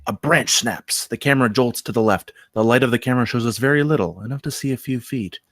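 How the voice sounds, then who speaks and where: A man with a medium-pitched voice narrates a screenplay, reading out scene headings and action. He puts deliberate dramatic weight on phrases, and the sound is dry with no room to it. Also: a bit bright, no echo. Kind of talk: reading screenplay stage directions aloud.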